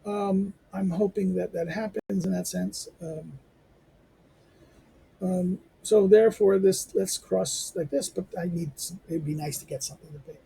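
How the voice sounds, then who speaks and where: Hoarse, gravelly-voiced man lecturing casually. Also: dark tone, rough recording. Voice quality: squeaky. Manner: rambling, many fillers and restarts. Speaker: lecturer and channel host. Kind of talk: informal spoken outro to a reading lecture.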